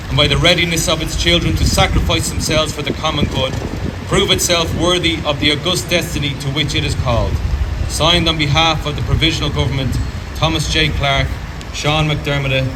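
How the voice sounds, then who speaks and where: A man's voice: on the high side, fairly even intonation, brisk. An Irish man giving a formal, solemn, declamatory reading at an outdoor ceremony. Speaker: reader at a commemoration ceremony. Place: outdoors in a city memorial garden. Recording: distant outdoor mic, loud traffic noise.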